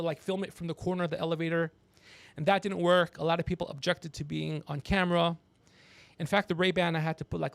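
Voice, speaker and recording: A man's voice: a little high, creaky, no echo. A man in his fifties hosting a casual comedy livestream, rambling, teasing and swearing as he talks. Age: 50s. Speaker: podcast host.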